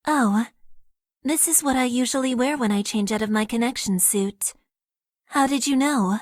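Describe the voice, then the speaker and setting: A woman voicing a shy, formal young mecha pilot in a game, speaking softly in stiff, literal phrases. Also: fairly high pitch, normal speed, no echo, studio quality, lightly acted. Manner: soft-spoken, stiff and literal. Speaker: voice actress. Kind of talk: game character voice lines.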